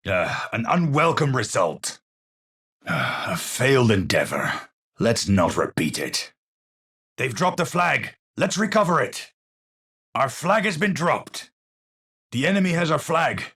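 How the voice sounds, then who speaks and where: Haunted brainy scientist game hero, slow formal delivery, kinda husky, dramatic and pensive. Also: male voice, mid-range voice, very animated, a bit bright, exaggerated voice. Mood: composed. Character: cerebral middle-aged male scientist, eccentric, haunted, formal. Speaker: video game hero character. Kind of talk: scripted game voice lines, theatrical.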